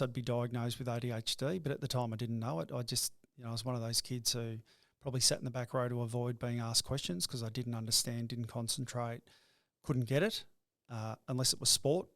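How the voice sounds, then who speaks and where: A man's voice: medium pitch, fairly even intonation, a bit bright, dry recording, studio quality. A middle-aged man, a business owner talking through his life story in an interview, often stumbling and repeating words as he starts a thought. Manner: halting, repeats words when starting sentences. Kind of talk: reflective interview talk.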